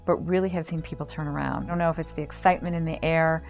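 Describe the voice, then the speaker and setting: A woman on staff at a health center, explaining its services in a measured way with frequent pauses, over soft music. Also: low-pitched, fairly even intonation. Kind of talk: promotional interview.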